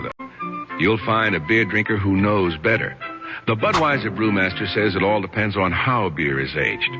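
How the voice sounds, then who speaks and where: A man announcing a radio commercial in a smooth, persuasive way. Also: on the low side. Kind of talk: scripted ad read. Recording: dull old radio broadcast with music.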